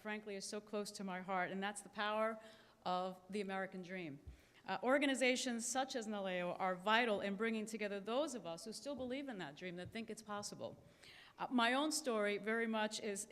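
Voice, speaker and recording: A woman's voice: medium pitch, fairly bright tone. Woman speaking in a reverberant hall. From Cuba. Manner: earnest, emphatic, slows to stress key phrases. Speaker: keynote speaker. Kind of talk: prepared speech at a conference. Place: large conference hall. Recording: echoey hall with long reverb.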